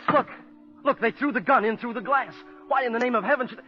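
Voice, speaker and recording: An actor playing a haunted man who tells of his family curse, earnest and dramatic in 1940s radio style. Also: very high voice. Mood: panicked. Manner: earnest, dramatic, deliberate storytelling. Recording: muffled old radio recording with music.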